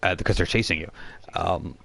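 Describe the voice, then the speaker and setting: A man with a low voice narrates as game master. He builds scenes haltingly and descriptively, improvising as he goes. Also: creaky, sharp tone, breathy edge. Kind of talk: improvised tabletop role-playing narration.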